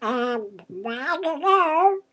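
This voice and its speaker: A tiny, anxious childlike friend for a kids' comedy skit. The voice is extremely high and bright, with very slow, hesitant, plaintive delivery. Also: female voice, animated, exaggerated voice. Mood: hesitant, cautious.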